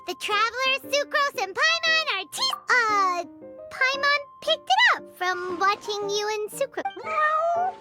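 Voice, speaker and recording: A woman voicing a small, childlike floating companion creature in a video game, bubbly and excitable, talking about herself by name. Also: very high voice, slow pace, loud music behind the voice, exaggerated voice. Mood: chirpy. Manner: refers to self by name, bubbly. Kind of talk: video game character acting.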